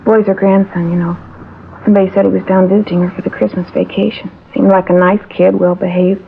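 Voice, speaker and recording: An actress playing a talkative, shaken theater cashier who witnessed an accident, in 1950s radio drama style. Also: female voice, medium pitch, level tone, fast, creaky, close to an everyday voice. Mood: distressed, indignant. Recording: tinny, hissy vintage radio recording.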